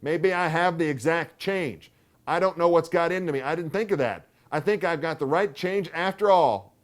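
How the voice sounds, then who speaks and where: A man's voice: a little high, normal speed, pitched above the performer's own voice, close to an everyday voice. A man reading a story aloud, voicing a stubborn, irritable aunt in a storybook. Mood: tart. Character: fussy stubborn older aunt, tart and irritable.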